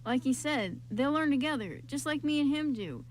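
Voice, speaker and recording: A woman playing a loyal, blunt young man with a forceful, insistent manner in an amateur radio play. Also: high-pitched, lively intonation, normal speed, slight background noise, rough recording. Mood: heartfelt, insistent. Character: loyal, blunt young man defending his best friend.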